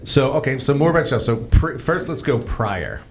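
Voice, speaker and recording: A man's voice: mid-range voice, sing-song, moderate pace, a little hiss or hum. A man hosting a casual conversational interview, speaking informally and circling his way around questions. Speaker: interviewer and host.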